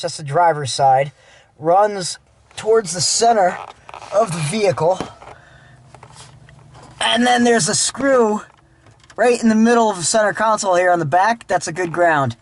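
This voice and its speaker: A man giving a casual, informal hands-on how-to narration as a DIY car vlogger while he works inside a vehicle. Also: high voice. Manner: informal, talking while working with his hands. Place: inside a Jeep, handheld camera.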